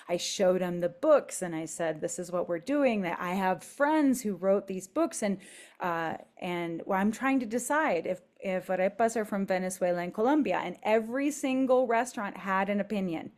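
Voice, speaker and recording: A woman's voice: medium pitch, expressive, moderate pace, a little mellow. A woman hosting a conversation, talking casually and informally. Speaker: host, book publisher.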